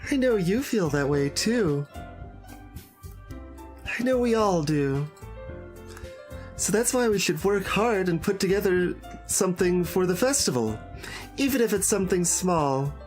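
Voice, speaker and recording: A man's voice: sits high, animated, unhurried, pauses often, a little mellow, pitched above the performer's own voice. A man voicing a blunt, argumentative teenage schoolgirl while reading visual novel dialogue. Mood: earnest. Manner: earnest, argumentative. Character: blunt, assertive teenage schoolgirl in a literature club. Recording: game music behind the voice.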